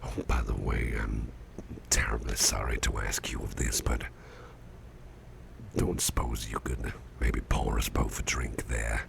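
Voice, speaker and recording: A man playing a weary, courteous businessman boss in an intimate ASMR roleplay, murmuring in a gravelly, breathy near-whisper with folksy hesitations. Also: a bass voice, little pitch movement, unhurried, exaggerated voice. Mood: polite, grateful. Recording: clearly audible background noise.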